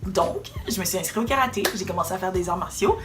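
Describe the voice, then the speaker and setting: A woman's voice: medium pitch, lively intonation, quick pace, soft background music. A young woman telling a personal story in a direct, emphatic way during a casual chat. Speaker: co-host.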